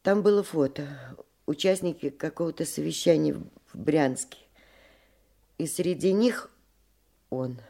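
A woman's voice: low-pitched, creaky, warm tone, full character voice. An actress playing an anxious, hesitant middle-aged provincial woman in a naturalistic radio play. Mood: troubled, halting. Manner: hesitant, halting. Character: anxious, grieving provincial woman with a grown son.

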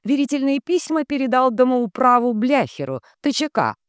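Hysterical wailing female psychic, high-pitched. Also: sing-song, smooth, no echo, clean studio sound. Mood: strained, insistent. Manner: wailing, bellowing, drawn-out anguished calls. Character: hysterical, moaning, grumbling heavy female psychic medium.

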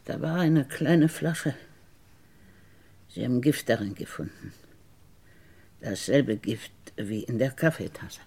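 An actress playing a bitter, wronged convicted woman in a radio crime drama, deliberate and insinuating, with a husky low voice. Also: lively intonation, a little mellow, exaggerated voice. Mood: insinuating, resentful. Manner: deliberate, halting, insinuating, dropping into whispers. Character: bitter, wronged woman once convicted of murdering her sister.

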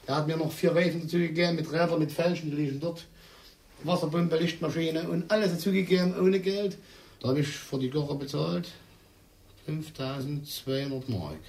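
A man's voice: fairly high pitch, fairly even intonation, moderate pace, a little mellow, slight background noise. A man telling a casual anecdote in a boastful, colloquial way. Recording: distant field recording with music behind.

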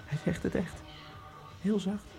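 An actor playing a dead Egyptian immigrant man who narrates his own fate in a radio drama, in a quiet, dry and sardonic way. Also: high-pitched, fairly even intonation, unhurried, vocal fry, faint music behind. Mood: wry. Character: dead male Egyptian immigrant, wry and detached. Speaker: first-person narrator.

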